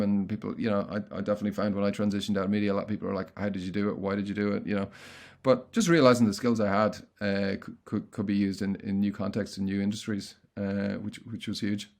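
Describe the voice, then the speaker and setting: A man's voice: fairly low pitch, darkish tone. A middle-aged man answering interview questions in a casual, chatty way, often restarting his sentences. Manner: quick, chatty, frequent restarts. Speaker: guest, content marketing consultant.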